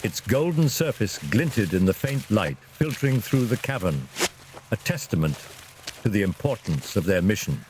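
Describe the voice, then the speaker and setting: A man's voice: fairly low pitch, very animated, normal speed, a little mellow, a little hiss or hum. A man narrating a scripted fantasy story in a dramatic storytelling style.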